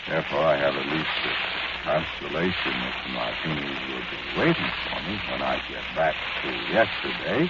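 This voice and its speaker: Casting: genteel, resigned scientist for vintage radio horror; low voice, widely swooping delivery, formal and wry. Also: male voice, lively intonation. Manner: formal, pedantic, deliberate, with wry asides. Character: doomed, genteel scientist, inept gambler and drinker, calmly resigned. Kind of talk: old radio horror drama monologue. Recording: dull, very noisy, crackling old radio recording.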